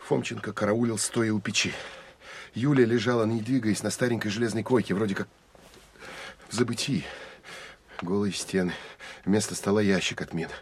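An actor playing a terse, introspective Soviet military officer who narrates an audio drama in measured, clipped phrases. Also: male voice, lowish voice, fairly even intonation, breathy edge. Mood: measured, tense. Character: male Soviet military counterintelligence officer, terse, weary, self-critical. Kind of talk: Russian audio drama first-person narration.

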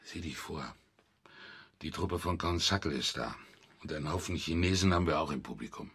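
An actor playing a wary, dry, harried fight-night official with a husky voice in a German radio drama. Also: male voice, a bass voice, expressive, brisk, a bit bright, exaggerated voice. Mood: dry, cautioning.

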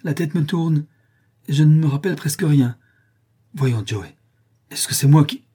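A shaky, pleading drunken gravedigger, as a storybook narrator puts him on, with a raised, strained voice and a husky edge. He sounds loud and rattled. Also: fairly high pitch, flat delivery, brisk, pitched above the performer's own voice. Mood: frightened, pleading. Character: older male drunken gravedigger, frightened.

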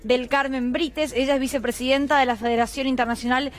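High-voiced Argentine woman reporting on radio. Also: steady tone, fairly quick. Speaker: radio columnist. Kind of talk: news report to a co-host.

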